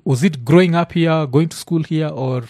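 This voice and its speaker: A man with a Kenyan accent hosting a casual podcast, animated and quick to laugh. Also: on the high side, no echo, studio quality. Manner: animated, laughs a lot, mixes in local slang. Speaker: podcast host.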